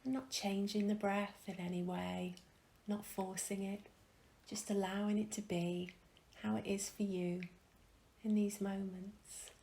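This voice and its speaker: A woman leading a guided meditation in a gentle, calm, soft and breathy voice, with long pauses, often trailing into a whisper. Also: mid-range voice. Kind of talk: guided meditation, soothing instruction.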